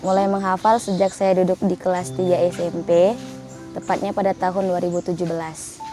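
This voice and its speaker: Low, flat young woman, hesitant. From North Sumatra, Indonesia. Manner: hesitant, slow, self-correcting. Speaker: graduating Quran memorization student. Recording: background music under speech.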